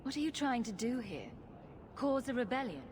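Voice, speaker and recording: A woman's voice: fairly high pitch, sing-song, moderate pace, background noise. An actress playing a sarcastic female rogue hero in a fantasy game, speaking in a dry, direct way. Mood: challenging. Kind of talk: fantasy video game acting.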